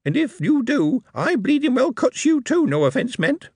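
Shrill cackling goblin. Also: male voice, very animated, normal speed, darkish tone, husky, no echo, clean studio sound, heavily acted. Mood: cheeky sarcastic. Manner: sarcastic, cheeky. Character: cackling sarcastic male goblin, cheeky.

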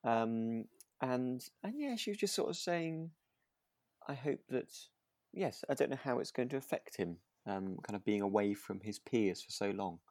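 A man's voice: medium pitch, expressive, normal speed, darkish tone, no room sound, clean studio sound. A man co-hosting a podcast, chatting casually in tentative, hesitant phrases. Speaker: podcast co-host. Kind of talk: casual chat reviewing a radio drama.

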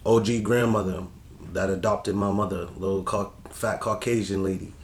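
A man's voice: low-pitched, fairly even intonation, moderate pace, crowd noise. A young man from Boston with a Boston accent, telling stories from his life as a guest in a casual chat, blunt and laid-back with street slang. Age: young adult. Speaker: podcast guest. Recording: echoey room with faint noise.

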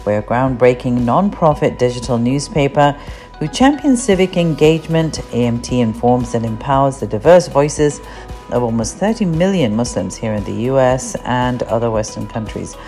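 A woman's voice: rumbling low, animated, moderate pace, dark tone, soft background music. A woman hosting a podcast, reading a scripted introduction in a presenter's style. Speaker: podcast host, editor-in-chief.

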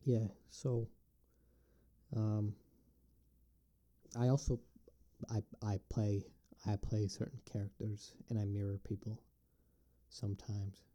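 A man's voice: lowish voice, flat delivery, warm tone. A man with an upstate New York accent, musing aloud in a casual, rambling solo video and often stopping to restart his thoughts. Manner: rambling, halting, thinks aloud. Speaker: vlogger answering questions.

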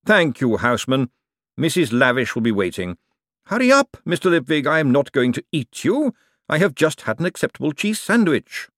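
A man voicing an urbane, calmly menacing ruler who speaks in a measured, formal, drily ironic way in an audiobook. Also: a little high, no room sound, clean studio sound, voice pushed up. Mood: smooth, dry. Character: urbane, calmly menacing ruling lord, dry and precise.

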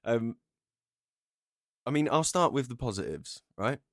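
A man's voice: medium pitch, animated, a little slow, a bit bright, no room sound, clean studio sound. A man talking casually as a co-host in a podcast discussion.

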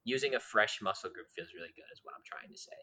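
A young man co-hosting a casual podcast, loud and talkative, swearing freely and rambling on tangents. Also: on the low side, expressive, fast, husky. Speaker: podcast co-host. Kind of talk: casual chat.

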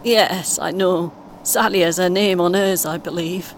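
An actress playing a polite, patient older British grandmother in an audio play, giving short, gently encouraging replies. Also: fairly even intonation, unhurried. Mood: kindly. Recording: faint vehicle noise behind. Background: vehicle rumble, coach ambience.

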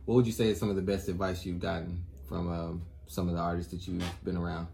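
A man co-hosting an interview, relaxed and affirming as he asks questions. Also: low voice, fast, gravelly, a little hiss or hum. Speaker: interview co-host. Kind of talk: casual interview talk.

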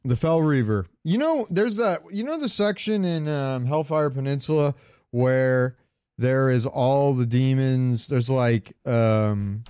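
A man's voice: medium pitch, darkish tone, smooth, no room sound, studio quality. A man co-hosting a podcast, chatting casually about video games and rambling as he searches for words. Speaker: podcast co-host.

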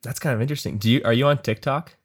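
A man interviewing a guest in a relaxed, joking, casual chat. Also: mid-range voice, very fast, slightly rough.

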